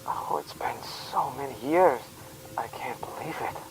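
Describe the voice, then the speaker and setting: A man's voice: mid-range voice, animated, measured pace, whispered, low-quality recording. Man acting anguished space commander, music behind. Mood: tender, overcome. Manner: urgent, pleading, emotional. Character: anguished lovelorn male space commander on the radio. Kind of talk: melodramatic radio drama acting.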